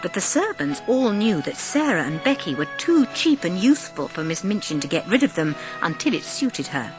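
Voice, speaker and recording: A woman narrating a dramatised storybook as an audiobook, with expressive, measured phrasing. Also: medium pitch, over music, rough recording.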